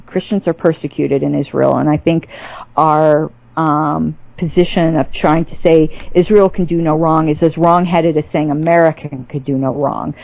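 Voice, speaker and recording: A woman's voice: low-pitched, steady tone, moderate pace, creaky, no echo, dull audio. A woman on a podcast as a guest, talking through theology in a thoughtful way with many restarts and fillers. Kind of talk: casual theological interview.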